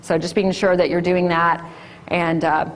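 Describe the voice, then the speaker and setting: A woman, an orthodontist giving a loud, lively classroom talk to children. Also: lowish voice, a little slow, low-quality recording. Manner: loud and lively, sing-song call-and-response.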